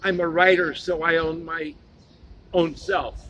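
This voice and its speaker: A man answering a street preacher, calm and hesitant, pausing on his words and correcting grammar. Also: fairly high pitch, highs cut off, slight background noise. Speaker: passerby being questioned.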